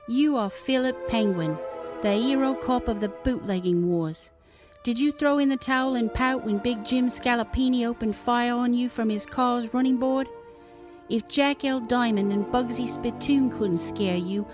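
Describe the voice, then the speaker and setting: A woman's voice: a little high, measured pace, music in the background, natural voice. A woman playing a loyal, plucky secretary with an English accent in a 1940s-style detective radio drama. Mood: encouraging, firm.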